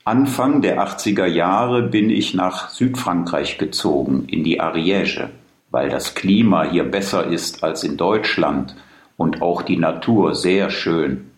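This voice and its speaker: A man in his fifties from Germany, giving a careful, measured read-aloud monologue for a listening exercise. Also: low-pitched. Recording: roomy echo.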